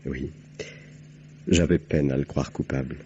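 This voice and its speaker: An actor playing a weary, bewildered man cleared of murder in an old French radio crime drama. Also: a bass voice, fairly even intonation, unhurried, mellow, a little hiss or hum. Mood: weary, grateful. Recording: muffled old radio recording.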